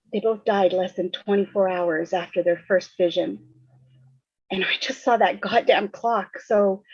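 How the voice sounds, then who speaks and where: A woman's voice: mid-range voice, a little mellow, breathy edge, no room sound. A woman acting a frightened teenage girl who faces a deadly curse, in a dramatic table read over a video call. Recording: muffled video call audio.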